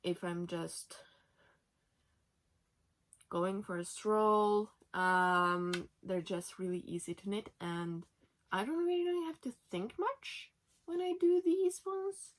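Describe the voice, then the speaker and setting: A young woman in her twenties from Norway talks in English, her second language, at a slow, unhurried pace. She chats casually, with plenty of fillers, while sitting in her bed at home. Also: medium pitch, expressive. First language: Norwegian. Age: 20s. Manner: chatty, with many fillers. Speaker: knitting podcast host. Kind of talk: casual chat.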